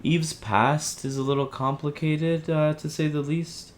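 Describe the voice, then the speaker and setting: A man playing an apologetic, evasive helpline listener in an audio drama, speaking in a hesitant, halting, conciliatory way. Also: a little high, level tone, natural voice. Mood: weary, guarded. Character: Theo, apologetic, evasive male helpline listener with a troubled marriage. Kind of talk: audio drama, naturalistic phone conversation.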